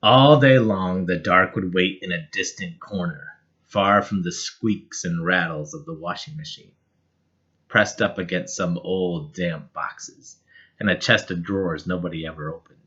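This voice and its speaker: Low-voiced man reading a children's story. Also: a bit bright, muffled recording. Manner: measured, dramatic phrasing. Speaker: storybook reader. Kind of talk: expressive children's story narration.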